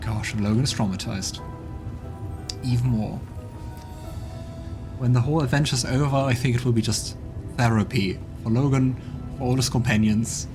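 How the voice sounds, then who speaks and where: A man's voice: medium pitch, fairly even intonation, moderate pace, pauses often. A man hosting a let's play, reading game text aloud and dropping wry, dry comments. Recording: background game music.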